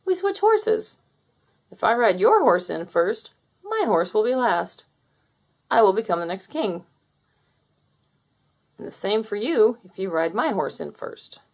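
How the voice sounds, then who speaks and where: A woman voicing a calm, clever young rooster prince who speaks in a patient, matter-of-fact way. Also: medium pitch, measured pace, long pauses.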